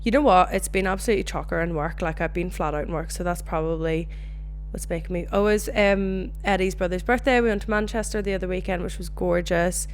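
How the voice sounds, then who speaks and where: A young Northern Irish woman hosting her own podcast, chatting casually and telling animated stories. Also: on the low side, fast talker, slight background noise. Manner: chatty, animated storytelling with swearing. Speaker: podcast host. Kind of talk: casual solo chat.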